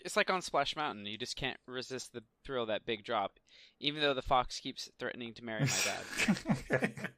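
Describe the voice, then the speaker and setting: A man's voice: medium pitch, sing-song, moderate pace, pushed and bright, slight background noise. A man co-hosting a podcast, speaking in a casual discussion style. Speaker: podcast co-host. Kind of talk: casual pop culture discussion.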